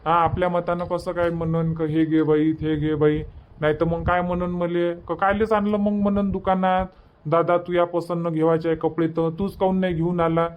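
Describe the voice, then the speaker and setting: Guy doing cartoon village husband, high voice, vidarbha marathi, bossy, rambles on. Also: flat delivery, normal speed, smooth, muffled recording, natural voice. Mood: matter-of-fact. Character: young village family man, impatient, bossy. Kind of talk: cartoon comedy dub.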